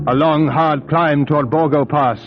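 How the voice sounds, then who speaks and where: An actor playing an earnest, proper young English solicitor in an old-time radio drama, formal and dramatic. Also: male voice, a little high, fairly even intonation, normal speed, lightly acted. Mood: weary, determined. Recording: dull vintage radio recording over loud music.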